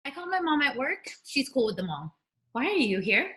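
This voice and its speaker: A woman's voice: high-pitched, sing-song, fairly quick, fairly bright tone. A woman playing a bossy, scheming tween girl in a comedic table read over a video call. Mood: bossy, impatient.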